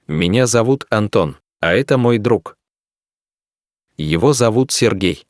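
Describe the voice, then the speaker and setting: An actor voicing a young man who talks about himself and his friend, reading even, clearly pronounced sentences for a language test recording. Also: lowish voice, dry recording, clean studio sound. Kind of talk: slow scripted reading for learners.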